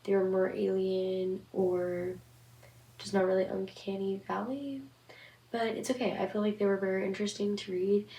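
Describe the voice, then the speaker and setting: A young woman hosting a horror story vlog, talking in a rambling, monotone way with many fillers. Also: mid-range voice, normal speed, far from the mic. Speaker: horror story channel host. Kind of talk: casual vlog commentary. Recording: echoey room, off-mic. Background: occasional passing train.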